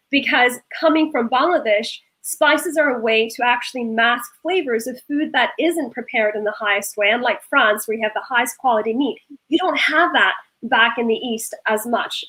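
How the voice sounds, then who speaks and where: A woman's voice: a little high, fairly bright tone, low-quality recording. A woman who grew up in Norway, speaking earnestly and with passion as a panelist in a discussion. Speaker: panelist, food startup founder.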